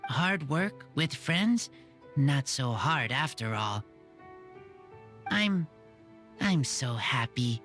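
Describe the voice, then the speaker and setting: A woman voicing an earnest young boy raised by wolves, speaking in halting, simple sentences in a gruff boyish tone for a video game. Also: extremely low pitch, animated, a bit bright, full character voice. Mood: heartfelt, earnest. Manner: slow, halting, short plain sentences. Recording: music behind the voice.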